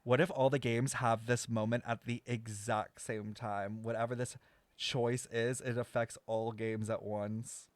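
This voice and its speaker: A man's voice: mid-range voice, flat delivery, measured pace, no room sound. A man giving casual live reactions while streaming a game, blunt, sarcastic and exclamatory, with some swearing. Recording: faint game music behind. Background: video game music.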